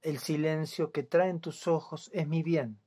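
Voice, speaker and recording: A man in his fifties with a Buenos Aires accent reads verse in a soft-edged, dark tone. His delivery is very slow and solemn, with long silences between phrases. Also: a little high, fairly even intonation, breathy edge. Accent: Buenos Aires Spanish. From Buenos Aires province, Argentina. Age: 50s. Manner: deliberate, with long pauses. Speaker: poet. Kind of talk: solemn poetry reading.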